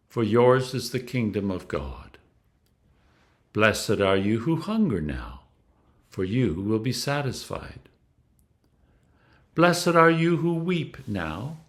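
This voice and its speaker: A man reading scripture aloud as a clergyman, in a solemn, deliberate style with emphatic stresses. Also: medium pitch.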